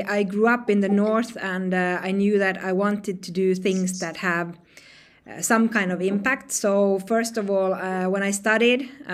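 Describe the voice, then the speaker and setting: A woman in her forties from northern Sweden, a company founder answering interview questions in a halting, restarting way. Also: mid-range voice. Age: 40s. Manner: halting, many restarts and fillers, light laughs. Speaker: guest, fintech founder.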